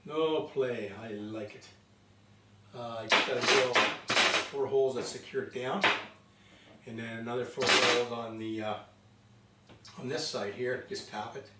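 A man in his fifties casually narrating his work in a machine shop, relaxed and pausing often with fillers. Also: mid-range voice, recorded from a distance. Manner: relaxed, talks while working, many "uh" fillers. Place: large home machine shop, indoors. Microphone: fixed camera across the room. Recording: roomy shop echo, off-mic, loud machine noise.

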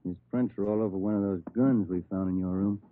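An actor playing a laconic police detective sidekick in a 1950s radio crime drama, dry and understated. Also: male voice, fairly low pitch, fairly even intonation, fast talker, natural voice. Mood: dry, businesslike. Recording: tinny old radio recording.

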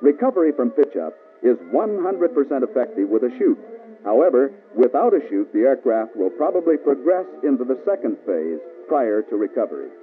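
An American man reading scripted instructional narration for a mid-century military training film, measured, authoritative and precise. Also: mid-range voice, very animated, narrow, tinny audio. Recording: band-limited old film soundtrack with music.

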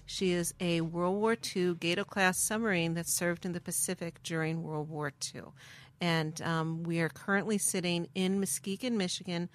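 A woman's voice: on the low side, steady tone, moderate pace. A middle-aged woman, a former museum director, explaining things in a methodical, informative way with some fillers while seated aboard a submarine. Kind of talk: explanatory interview talk. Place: submarine galley.

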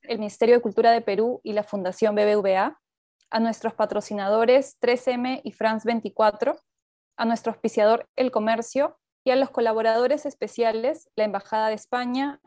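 A woman's voice: fairly high pitch, darkish tone, dry recording, clean studio sound. A woman coordinator reading a prepared welcome on a video call from a bedroom, through a wired earphone headset. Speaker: festival event coordinator. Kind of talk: formal introduction.

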